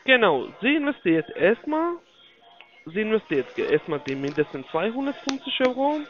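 A high-voiced man with a foreign accent works through a telephone sales pitch. His intonation swings widely as he repeats himself in halting, grammatically broken phrases. The call audio is dull and lo-fi. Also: sing-song, faint music behind. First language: not German (non-native speaker). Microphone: phone call.

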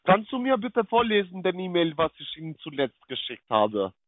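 A man argues over a thin, tinny phone line, stumbling over his words and repeating the same demand again and again. His voice sits fairly high. The sound is dry and narrow. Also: big pitch swings, no room sound. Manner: halting, repeats himself, demanding. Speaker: phone scammer being baited. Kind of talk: heated phone argument.

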